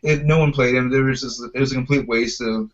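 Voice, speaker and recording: Man, podcast host, medium pitch, casual conversational delivery, slightly muffled lo-fi sound. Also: steady tone, fast talker. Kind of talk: casual chat. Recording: dull, lo-fi podcast audio.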